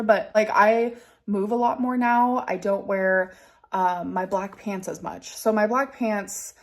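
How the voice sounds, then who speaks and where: Thirties woman vlogger, rambling, lots of fillers, casual. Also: medium pitch. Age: 30s. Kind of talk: casual personal vlog talk.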